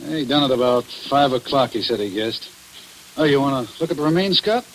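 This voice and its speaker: An actor in an old radio drama playing a slack, talkative small-town jailer with a folksy, colloquial and defensive way of talking. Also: male voice, medium pitch, lively intonation, brisk, lightly acted, rough recording. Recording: hissy vintage radio broadcast recording.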